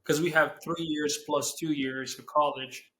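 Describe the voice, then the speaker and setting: A young man from Serbia with a Serbian accent, answering interview questions in English as a non-native speaker, pausing to search for words. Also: fairly high pitch, little pitch movement, bright, forceful tone, low-quality recording. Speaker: guest, business owner.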